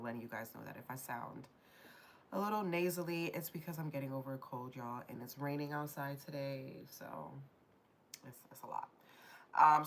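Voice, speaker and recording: A woman's voice: a bass voice, a bit bright, a little hiss or hum. A woman with a stuffy, nasal voice from a cold, chatting casually as she hosts a live tarot stream. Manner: chatty, rambling, addresses viewers directly. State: getting over a cold.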